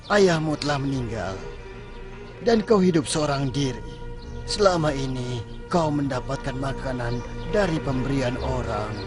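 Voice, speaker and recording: A man's voice: mid-range voice, animated, unhurried, close to an everyday voice. A man voicing an older scheming villager who coaxes and persuades, over background music. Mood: coaxing. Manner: coaxing, persuasive.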